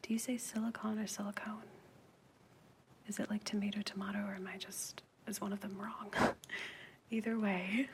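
A woman whispering gently and intimately to the listener as an ASMR creator, in a soothing manner. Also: medium pitch, flat delivery, normal speed, background noise. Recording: loud crinkling and brushing noises close by. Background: crinkling, clicking and brushing props.

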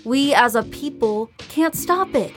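A woman's voice: high voice, expressive, measured pace. Young woman band singer, blunt, sweary, stubborn, audio drama w music under it. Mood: rallying, determined. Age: young adult. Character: defiant young woman, passionate local band singer and guitarist. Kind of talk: naturalistic audio drama acting.

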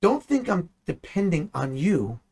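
Husky-voiced man teaching the Bible. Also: sits high, measured pace. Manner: animated, asks rhetorical questions, acts out dialogue. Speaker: minister.

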